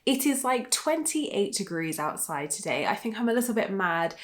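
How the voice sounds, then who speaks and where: A woman's voice: medium pitch, very animated, normal speed. A woman of thirty, a life coach, chatting casually to her vlog viewers, sounding a little nasal from a cold she is still getting over. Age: 30s. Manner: chatty and bubbly, many fillers like "like" and "um". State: recovering from a cold. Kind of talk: casual vlog chat to camera.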